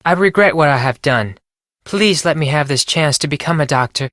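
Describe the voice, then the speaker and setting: Earnest Japanese exchange student, dry sound. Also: male voice, on the high side, expressive, moderate pace, fairly bright tone, no echo. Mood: desperate, remorseful. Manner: formal, careful phrasing. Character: earnest, anxious male Japanese exchange student, high school age. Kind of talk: scripted video game voice lines.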